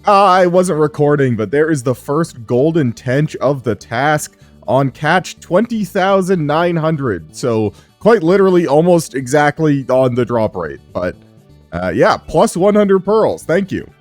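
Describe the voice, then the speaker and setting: A man's voice: fairly high pitch, measured pace, faint music behind. A man giving casual, rambling commentary over his gameplay, sounding a little sick and pushing his voice up a bit. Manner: relaxed, rambling, frequent "uh" fillers. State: sick, strained low voice. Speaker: gaming YouTuber.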